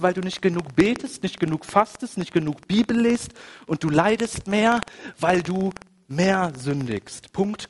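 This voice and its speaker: A man with a cold preaching a sermon, conversational and full of asides, moving between quiet, reflective passages and emphatic, rising ones. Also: a little high. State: has a cold. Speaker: pastor. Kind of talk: sermon with personal anecdote.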